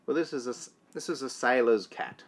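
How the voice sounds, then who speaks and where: A man's voice: medium pitch, fairly quick, breathy edge. An Australian man in his thirties chatting casually in short, laconic phrases. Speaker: boat owner and vlog host. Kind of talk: casual chat. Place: on a catamaran at anchor, cabin and open deck.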